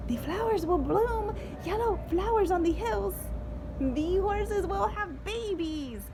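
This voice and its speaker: A woman voicing excited, eager young street boys in a storybook read-aloud, on a noisy home recording. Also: very high voice, takes it slowly, crowd noise, voice pushed up, full character voice.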